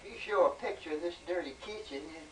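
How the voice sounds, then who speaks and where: Elderly folksy woman with a lowish voice. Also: fast talker, crowd noise. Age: 70s. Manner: folksy rural speech, teasing. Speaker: grandmother being filmed at home. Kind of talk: casual chat. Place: small home kitchen, indoors. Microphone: camcorder microphone. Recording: noisy amateur home video.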